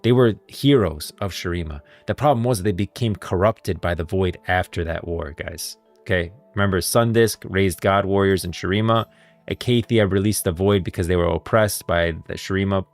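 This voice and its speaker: Low-voiced man giving casual lore commentary. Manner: rambling, repeats phrases as he reasons aloud. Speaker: streamer reacting to and explaining game lore. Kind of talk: casual live commentary with read-out dialogue.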